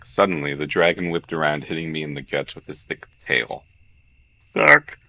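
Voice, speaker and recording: Very deep gravelly man reading aloud. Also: moderate pace, muffled recording. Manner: swears, excitable, jokey. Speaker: streamer, host of a game read-along. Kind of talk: lively visual novel read-along with casual commentary.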